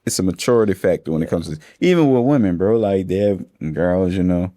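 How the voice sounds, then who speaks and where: Deep-voiced laid-back man in his thirties. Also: brisk, dark tone, dry recording, studio quality. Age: 30s. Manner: laid-back slangy talk, calls listeners bro. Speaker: funding expert guest. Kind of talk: casual podcast conversation.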